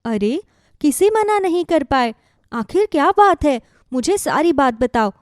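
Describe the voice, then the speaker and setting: Woman acting a mellow-voiced, worried village wife. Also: high-pitched, sing-song, measured pace, dry recording, studio quality. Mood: puzzled, demanding. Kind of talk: animated Hindi moral story voice acting.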